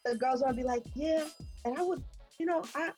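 A young woman co-hosting a podcast, talking fast and slangy in casual chat with friends. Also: high-pitched, a little mellow, faint music behind. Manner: fast, slangy, rushes words. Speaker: podcast co-host.

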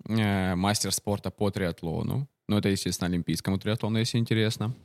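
Joking young man with a low, dry voice. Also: steady tone, fast talker, no room sound. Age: 20s. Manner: joking, hesitant with many fillers. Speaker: podcast guest, triathlon coach. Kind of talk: casual podcast chat.

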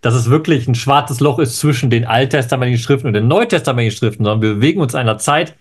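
A man's voice: medium pitch, very fast, breathy edge. A man co-hosting a live scholarly discussion, eager, jumping in and correcting his partner. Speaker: co-host, Old Testament scholar.

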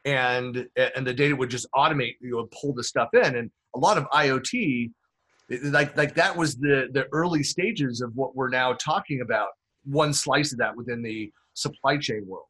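Man, podcast host, chatty, rambling, fillers, mid-range pitch. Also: moderate pace, pushed and bright. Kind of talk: interview podcast.

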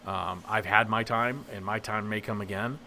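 A man on a discussion panel, opinionated and self-described as loud, starting his sentences over and over. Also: lowish voice, fairly even intonation, moderate pace, slight background noise. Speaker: panel guest.

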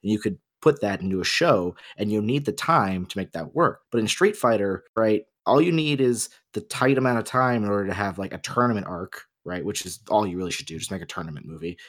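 A man's voice: lowish voice, brisk, hardly any pauses, a bit bright. A man co-hosting a casual discussion, explaining his opinions emphatically. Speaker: podcast co-host.

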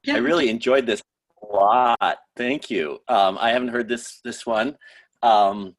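A man chatting casually in a discussion of a play, speaking in a halting, musing way and telling anecdotes. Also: medium pitch, no room sound, low-quality recording. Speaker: guest commenter, writing teacher.

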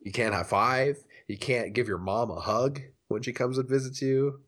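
A man's voice: mid-range voice, moderate pace, fairly bright tone. A man co-hosting a casual podcast, playfully putting questions to his friends. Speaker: podcast co-host asking the questions. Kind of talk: casual chat.

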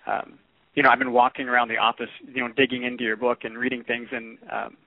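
A man hosting a conversational radio interview, speaking haltingly and repeating words as he goes. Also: mid-range voice, little pitch movement, no room sound. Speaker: podcast interviewer. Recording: dry, tinny phone-like radio audio.

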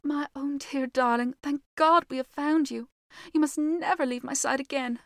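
Storybook mother played by a woman: raised pitch, tender, emotional, clinging. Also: steady tone, moderate pace, no room sound, studio quality. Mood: tender relief. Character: tender, overwrought mother clinging to her child.

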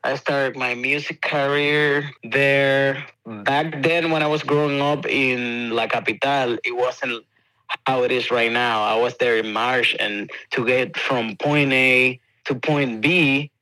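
Dominican musician, male, Spanish-accented English, bright forward voice, relaxed, dry close sound. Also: mid-range voice, takes it slowly, no room sound, poor audio. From Dominican Republic. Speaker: musician guest. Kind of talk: casual interview answers.

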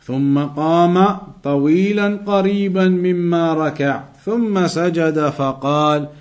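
A man giving an Islamic lesson, reading texts aloud and explaining them deliberately, repeating phrases for emphasis. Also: a little high. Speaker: religious teacher.

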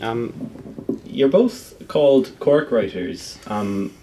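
Halting male interviewer, off-mic. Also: medium pitch, lively intonation, dark tone, recorded from a distance, a little hiss or hum, poor audio. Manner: rambling, halting questions. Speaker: interviewer, podcast host. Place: bookshop event.

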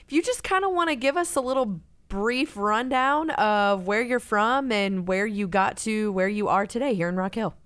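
A woman's voice: mid-range voice, normal speed, hardly any pauses, fairly bright tone, clear, resonant tone, no room sound. A woman hosting a casual podcast, welcoming and questioning a guest in a friendly way. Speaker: podcast host.

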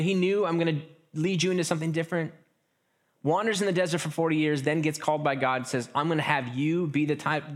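A man preaching a sermon in a relaxed, conversational way, often restarting phrases and slipping into quick acted asides. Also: a little high. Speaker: pastor.

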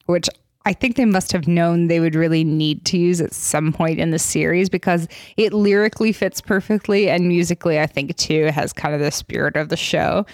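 A woman's voice: lowish voice, normal speed, a little mellow, dry recording. A woman in her thirties co-hosting a podcast, a comedian with a dry, wry manner in casual chat. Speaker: podcast co-host, stand-up comedian.

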